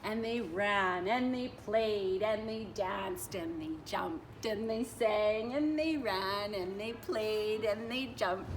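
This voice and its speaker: A woman reading a picture book aloud like a teacher, expressive, stretching and stressing her phrases for children. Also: mid-range voice. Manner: expressive, drawn-out phrasing with emphasis. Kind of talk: animated children's storytelling. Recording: distant mic with clear background noise.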